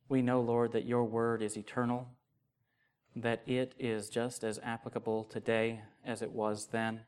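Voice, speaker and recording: Earnest young pastor preaching a sermon. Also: male voice, medium pitch, darkish tone. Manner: earnest, measured, grows more emphatic. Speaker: associate pastor. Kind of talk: sermon with opening prayer.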